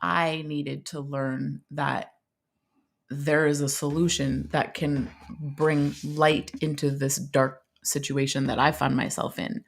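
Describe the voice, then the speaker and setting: A woman in her thirties, co-hosting a recovery podcast in casual chat, earnest and halting with many restarts. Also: deep voice, little pitch movement, creaky. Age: 30s. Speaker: podcast co-host.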